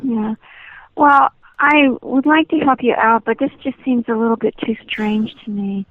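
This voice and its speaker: Narrow telephone sound, woman, slightly high voice, polite, hesitant, puzzled, conversational. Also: normal speed. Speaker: nanny receiving a prank call. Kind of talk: phone conversation. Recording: muffled, band-limited phone line.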